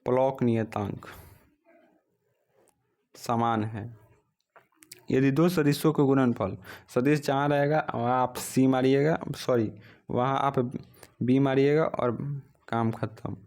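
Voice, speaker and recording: A man at a medium pitch works through exam questions one after another. He reads each question, then gives the answer in a steady drilling rhythm. The sound comes from a phone recording made indoors. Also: slow, long pauses. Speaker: exam coaching teacher. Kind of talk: exam practice lesson.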